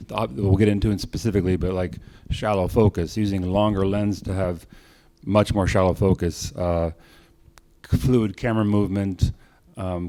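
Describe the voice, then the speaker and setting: A man's voice: on the low side, warm tone. A man giving an informal conference talk on technique as a presenter, speaking in short phrases. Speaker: cinematography presenter.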